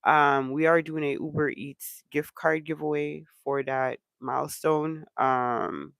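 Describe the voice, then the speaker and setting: A man with a stuffy, congested voice hosts a casual livestream chat from home, rambling loosely and filling his pauses with ums. His voice has a gravelly, fry-heavy edge. The sound is dry and close to the mic. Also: on the high side, no room sound. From Canada. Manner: relaxed rambling with many ums. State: congested from allergies. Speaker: channel host.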